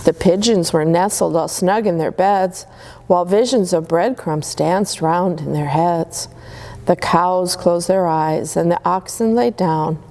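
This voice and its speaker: A woman reading Christmas stories aloud to children in a gentle, soothing way. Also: on the low side, unhurried. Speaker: storyteller.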